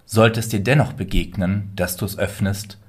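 A man plays a rich, stern husband from a storybook fairy tale, with a deep voice. He speaks in a formal, deliberate, commanding manner. Music plays clearly underneath. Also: fairly even intonation, a little mellow. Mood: stern, menacing. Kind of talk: dramatic fairy-tale reading.

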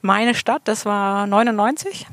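A woman's voice: mid-range voice, a little slow, fairly bright tone, no echo, studio quality. A middle-aged woman from southern Germany, a politician chatting in an interview, full of fillers and restarts. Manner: chatty, many fillers and restarts, laughs easily. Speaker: state politician guest. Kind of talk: relaxed interview talk. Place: garden allotment outdoors.

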